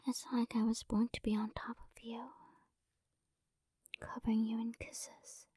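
Woman, ASMR whisper, breathy, mellow, slightly high, close dry mic. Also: monotone, unhurried, no echo. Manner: soft breathy whispering, affectionate and coaxing. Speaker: ASMR performer. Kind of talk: whispered romantic ASMR roleplay.

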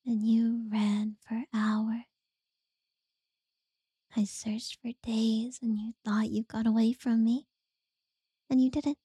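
A woman voicing an obsessive, possessive captor in a whispered audio roleplay, slow and unsettlingly sweet. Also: fairly high pitch, no room sound, heavily acted. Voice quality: soft breathy whisper.

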